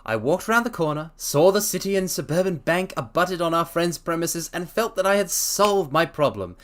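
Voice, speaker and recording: A man's voice: fairly high pitch, expressive, normal speed, fairly bright tone. A man voicing a brilliant, languid detective explaining his deductions in a theatrical storybook reading. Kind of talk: dramatic reading.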